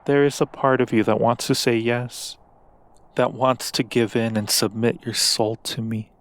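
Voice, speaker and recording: A man's voice: medium pitch, level tone, lightly acted. A man playing a seductive, coaxing demon in an ASMR roleplay, speaking with silky, formal persuasion to a mortal. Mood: seductive, hungry. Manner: slow, silky persuasion with formal, deliberate wording.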